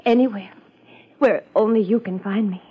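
An actress playing a frightened, evasive young heiress in a 1950s radio drama, hesitant and pleading. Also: female voice, medium pitch, steady tone, moderate pace, breathy edge. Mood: timid, relieved. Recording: dull 1950s radio recording.

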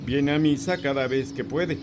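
An actor playing a calm, kindly village priest in a radio drama, gentle and reassuring. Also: male voice, mid-range voice, normal speed, background noise, natural voice.

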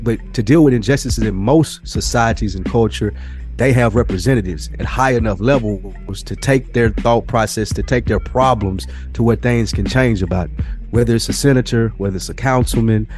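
A man's voice: lowish voice, moderate pace, a little mellow, faint music behind. A man co-hosting a podcast, arguing his opinions casually and bluntly, with plenty of swearing. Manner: blunt, profane, argumentative. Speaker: podcast co-host.

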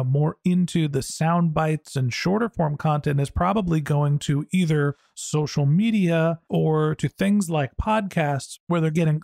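A man's voice: fairly high pitch, gravelly, a little mellow, no echo, clean studio sound. A man speaking as a podcast host, in interview talk and sponsor reads.

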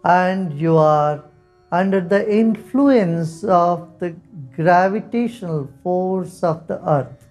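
A man leading a guided meditation, giving soft, calm instructions with long pauses. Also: high voice. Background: soft instrumental music.

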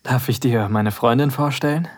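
A man playing a calm, friendly young boyfriend on holiday, speaking in a relaxed, reassuring way in an audio drama. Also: mid-range voice, moderate pace, natural voice.

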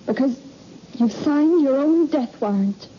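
An actress playing an anxious, imploring wife with theatrical emphasis in an old radio suspense play. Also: female voice, high-pitched, expressive, measured pace, loud music behind the voice. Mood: anguished, pleading. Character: anxious, pleading young wife of a spaceman. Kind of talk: melodramatic old-time radio acting. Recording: muffled, noisy old radio recording.

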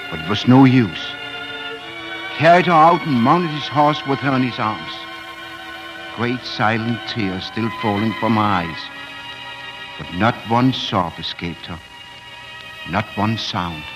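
A man narrating a fairy tale in measured, dramatic 1940s radio storytelling style. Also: mid-range voice. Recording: dull 1940s radio, music behind.

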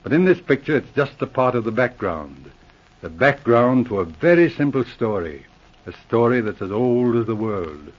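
A methodical, dry-witted Mountie sergeant with a husky edge and a mid-range voice. He talks with patient, teasing calm in 1940s radio style. The sound is a dull, lo-fi old radio broadcast. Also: medium pitch, normal speed.

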